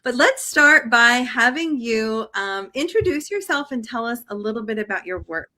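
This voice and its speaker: A woman's voice: on the high side, animated, moderate pace, bright, forceful tone. A woman speaking as the host, introducing an interview series to viewers. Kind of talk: welcoming spoken introduction to an interview.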